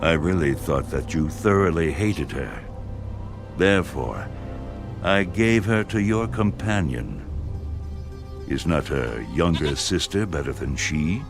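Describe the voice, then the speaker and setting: A man acting an older father in a dramatised scripture reading, grave and pleading as he speaks slowly and deliberately. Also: a bass voice, big pitch swings, creaky, heavily acted. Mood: grave, placating. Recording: music behind the voice. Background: music.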